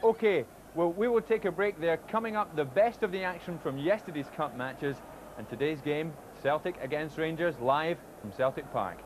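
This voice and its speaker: A man presenting a live football broadcast at a stadium, linking segments and naming arriving players in a brisk, informative way. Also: on the high side, animated. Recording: noisy broadcast with crowd behind.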